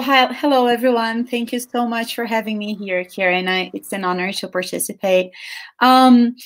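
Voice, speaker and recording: A woman author from Brazil answering a panel question over a video call from home, thinking aloud and correcting herself as she goes. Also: a little high. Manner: thoughtful, hesitant, self-correcting. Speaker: children's book author, panelist. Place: home room. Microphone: laptop webcam mic on a video call.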